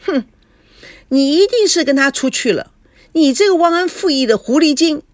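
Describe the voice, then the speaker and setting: A woman's voice: sits high, lively intonation, normal speed, no echo, clean studio sound, pitched above the performer's own voice. A woman voicing a foul-tempered, suspicious mother in a story, scolding with coarse sarcasm. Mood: sour, accusing.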